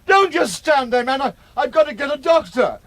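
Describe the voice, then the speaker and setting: An actor playing an injured, abandoned husband in a radio drama, halting, pleading and gasping in pain. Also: male voice, very high voice, big pitch swings, a bit bright, no echo, full character voice. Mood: pained, accusing.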